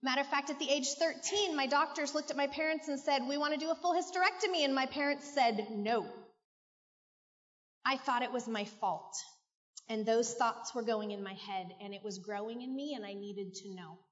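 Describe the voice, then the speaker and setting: A woman's voice: high-pitched, moderate pace, fairly bright tone. A middle-aged American woman from California giving a candid, heartfelt personal testimony to a church audience. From California, United States. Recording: muffled, echoey large hall.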